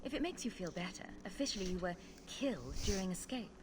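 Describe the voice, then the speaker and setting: An actress playing a composed adventurer heroine in a video game, speaking calmly and directly. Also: female voice, on the low side, quick pace, noisy background, close to an everyday voice.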